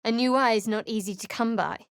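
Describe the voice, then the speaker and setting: An actress voicing a guarded, sharp-tongued young female cleric in a video game, with a dry, clipped delivery. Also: a little high, a little fast, clear, resonant tone, dry recording, lightly acted. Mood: guarded, wry. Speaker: game character.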